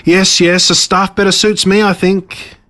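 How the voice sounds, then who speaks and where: A man acts out a smug storybook villain who rules over ants. The voice is pitched high, well above an everyday male voice, and delivers threats with pompous, gloating drama. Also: level tone, a little slow, pushed and bright.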